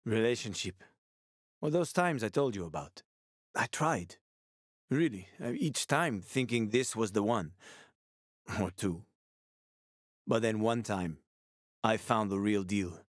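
A man's voice: lowish voice, animated, slow pace, pauses often, no echo, clean studio sound. An actor playing a roguish, wisecracking male survivor in a video game, talking in a casual, teasing, sweary way. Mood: regretful, subdued.

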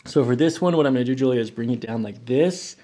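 A man in his thirties, a chiropractor, calmly giving coaxing hands-on instructions to a patient. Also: mid-range voice, fast, noisy background. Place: small office, indoors. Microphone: microphone on boom stand.

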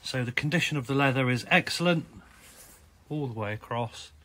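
Croaky-voiced man touring a car. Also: medium pitch, normal speed. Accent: British. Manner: relaxed, chatty listing of features. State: hoarse, croaky voice. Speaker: car salesman. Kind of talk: walkaround car sales video. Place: indoor car showroom with tiled floor.

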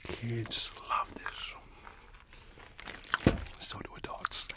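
Man, middle-aged, half-whispered, very unhurried, friendly candy seller, ASMR roleplay, close-miked. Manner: soft-spoken, half-whispered, unhurried, friendly shopkeeper patter. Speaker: ASMR performer playing a candy shop keeper. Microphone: close mic, facing the camera at close range.

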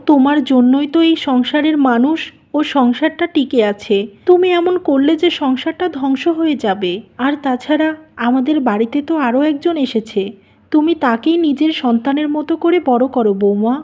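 Woman acting a high, mellow, insistent mother-in-law. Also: moderate pace, natural voice. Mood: consoling. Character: anxious, firm mother-in-law holding the household together. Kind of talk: Bengali animated family melodrama.

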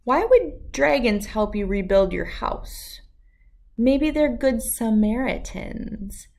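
A woman in her thirties reading a children's storybook aloud with expressive, emphatic delivery and dramatic pauses. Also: fairly high pitch, vocal fry. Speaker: read-aloud host.

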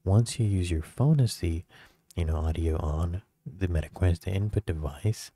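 A man's voice: low-pitched, moderate pace, mellow, no echo. A man narrating a casual how-to tutorial as the host, recorded as a close, dry voice-over.

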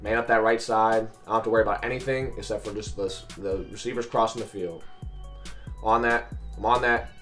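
A raspy, slightly hoarse man commentates his own video game play. He coaches viewers in informal sports slang and repeats short phrases. Faint music plays behind him. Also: lowish voice, fairly even intonation, brisk, fairly bright tone. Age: 20s. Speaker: gaming video host and coach. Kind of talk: excited live gameplay commentary. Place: small bedroom, indoors.

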